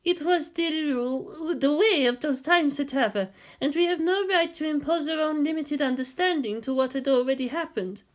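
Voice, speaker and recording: A narrator voicing a gentle, serenely respectful woman guide in an audiobook story. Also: high voice, normal speed, hardly any pauses, pitched above the performer's own voice, close to an everyday voice.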